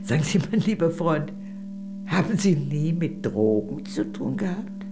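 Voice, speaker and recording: Witty, animated old lady. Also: low-pitched, gravelly, over music, heavily acted. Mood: wry, confiding. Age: older. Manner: genteel, arch, unhurried confiding delivery. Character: witty, worldly old lady, former communist, evasive and gracious. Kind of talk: radio play dialogue.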